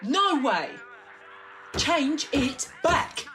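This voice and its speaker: A woman's voice: sits high, very animated, extremely slow, fairly bright tone, faint music behind, exaggerated voice. A woman playing a feisty, indignant young daughter in an audio drama.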